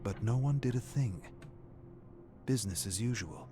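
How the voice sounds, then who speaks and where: A man reading a scripted story as a dramatic narrator, grave and deliberate. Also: fairly low pitch, lively intonation. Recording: music playing behind the narration. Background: background music.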